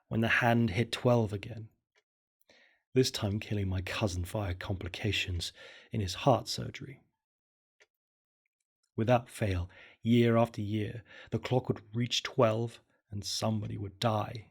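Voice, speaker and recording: Husky guy reading a creepypasta, low and somber, kinda murmury. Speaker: storyteller. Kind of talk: first-person horror story narration.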